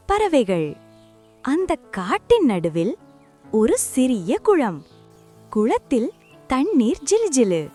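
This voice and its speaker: A woman narrating a scripted children's story in short, deliberate phrases. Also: fairly high pitch, mellow.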